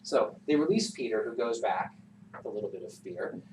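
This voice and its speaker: A man telling a folktale informally to a class in an animated, conversational way with asides. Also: on the low side, fairly quick. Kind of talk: informal oral storytelling. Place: classroom, recorded from some distance. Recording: roomy, distant classroom recording with background noise.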